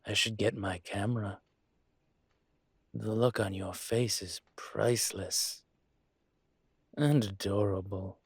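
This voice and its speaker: A man playing a courtly, possessive dragon mafia boss in an intimate audio roleplay, speaking in a suave, teasing, formal way with a husky voice. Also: on the low side, takes it slowly, long pauses, no echo, full character voice. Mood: amused, teasing. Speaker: voice actor.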